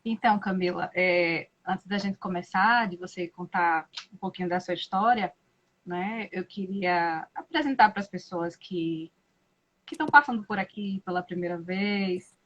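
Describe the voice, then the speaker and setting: A Brazilian woman hosting a live-stream interview, speaking slowly and thoughtfully with many hesitations and tag questions. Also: on the low side, a bit bright. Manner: slow, thoughtful, frequent "né?" and hesitations. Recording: muffled lo-fi live-stream audio.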